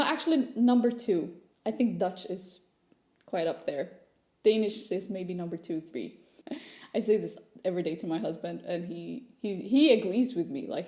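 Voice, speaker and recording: A young woman from Sweden speaks English, in a relaxed, mellow voice of medium pitch. She tells personal stories in a chatty, candid vlog style. The room adds a noticeable echo around her words. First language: Swedish. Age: young adult. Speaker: content creator. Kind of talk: casual personal vlog chat. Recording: roomy echo.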